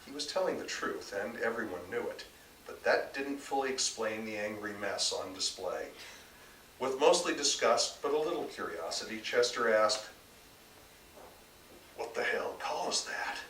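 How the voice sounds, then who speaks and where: A man gives a live literary reading with emphatic, dramatic delivery. His bright, forceful voice reaches a distant mic in an echoey room. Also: lowish voice, lively intonation, normal speed, a little hiss or hum. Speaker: author reading own work. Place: bookstore reading event before an audience.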